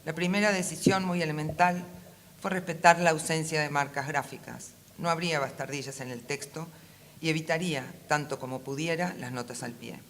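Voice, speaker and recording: A woman with a Rioplatense Argentine accent reads a prepared talk aloud in a measured, formal, literary manner as a panel speaker. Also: deep voice, steady tone. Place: conference room at a book fair. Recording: faint ambient event noise.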